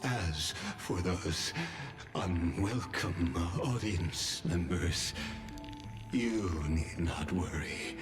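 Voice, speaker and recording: A slow, deep godlike villain. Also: male voice. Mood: quietly menacing. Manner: grandiose, deliberate, ominous pronouncements. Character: solemn, menacing godlike male villain entity. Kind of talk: scripted video game cutscene acting. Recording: echoing voice under clear music.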